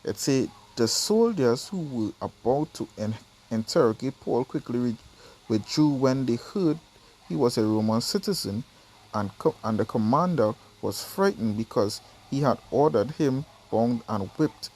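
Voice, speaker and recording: A man's voice: medium pitch, animated, a little slow, mellow, rough recording. A man from Trinidad with a Trinidadian accent, reading Bible verses aloud and explaining them in a casual teaching style that keeps checking in with "right?" and "you understand?".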